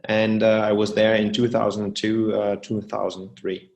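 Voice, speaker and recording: A man from Germany, a native German speaker, giving halting answers from a distant mic on a video call. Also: on the low side, flat delivery, creaky, darkish tone, lo-fi sound. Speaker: former exchange student.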